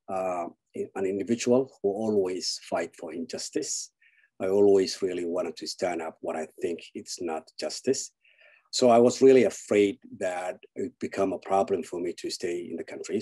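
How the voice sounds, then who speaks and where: A man, giving an earnest keynote speech over a video call, halting with frequent fillers. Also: low voice, mellow, breathy edge. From Somalia. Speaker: keynote speaker. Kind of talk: personal speech.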